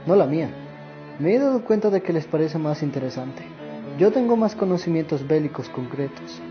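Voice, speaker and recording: A man's voice: fairly high pitch, lightly acted. Young man as earnest veteran grandfather, muffled. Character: earnest, sorrowful old war veteran and grandfather. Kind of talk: radio drama monologue. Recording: muffled audio with music underneath.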